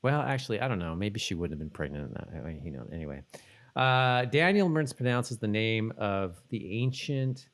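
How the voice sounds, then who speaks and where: A man's voice: lowish voice, expressive, normal speed. A man co-hosting a podcast, chatting informally about TV episodes in a rambling, slangy way. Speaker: podcast co-host. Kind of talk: casual TV episode recap chat.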